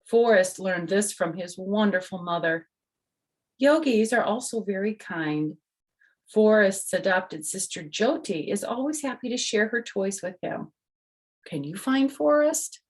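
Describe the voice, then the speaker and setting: A woman's voice: medium pitch, animated, unhurried. An older woman reading a children's picture book aloud, speaking to young listeners and adding chatty personal asides.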